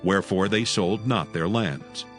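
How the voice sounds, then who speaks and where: A man narrating a dramatized scripture reading in a solemn, expressive way. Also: low-pitched, a little slow, soft background music.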